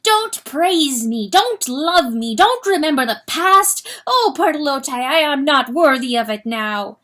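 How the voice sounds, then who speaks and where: A woman's voice: sits high, sing-song, measured pace, pushed and bright, voice pushed up, heavily acted. A woman voicing an anguished, guilt-ridden male rooster in a dramatic animal fantasy audiobook, wailing and choked with self-loathing. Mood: wailing despair. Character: anguished guilt-wracked male rooster, once a proud lord.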